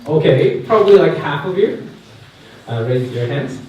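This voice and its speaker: A man's voice: on the high side, animated, normal speed, darkish tone. Man, thirties, Korean accent, chatty speaker with fillers, very echoey hall, distant mic. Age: 30s. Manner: chatty, asks the audience questions, many fillers. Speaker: guest speaker at a youth camp. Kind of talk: personal testimony talk. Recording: echoey hall, mic far off, faint noise.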